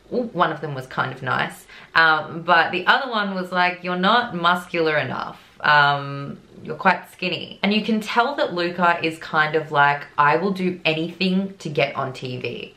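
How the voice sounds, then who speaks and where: Low-voiced woman hosting a chatty vlog. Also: a bit bright, echoey room. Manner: chatty, opinionated, quotes others in passing. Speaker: true crime vlog host. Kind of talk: casual narration and commentary.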